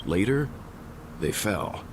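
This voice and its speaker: A man playing a composed, astute older gentleman scholar in a video game, explaining things in a grave, formal and measured way. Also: on the low side, big pitch swings, background noise. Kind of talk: scripted video game dialogue. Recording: background music under the voice.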